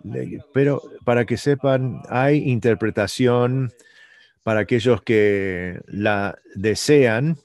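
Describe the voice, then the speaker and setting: A man interpreting a conference talk, halting and breaking off mid-phrase. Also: medium pitch, a little mellow, no echo.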